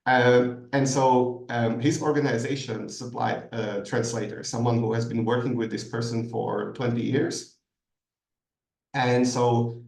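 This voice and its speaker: A man's voice: mid-range voice, creaky, a little mellow. A man giving a considered masterclass answer, full of ums and restarts. He sits away from the mic, so his voice comes through distant. The room around him rings with echo. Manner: thoughtful, hesitant, many ums and restarts. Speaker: guest expert answering questions.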